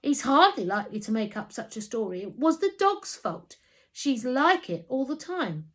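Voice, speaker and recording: A woman's voice: high-pitched, very animated, moderate pace, voice pushed up. Woman voicing a snappish, irritated dad. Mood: annoyed. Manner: curt, emphatic. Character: irritated, snappish dad defending his child. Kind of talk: children's storybook reading.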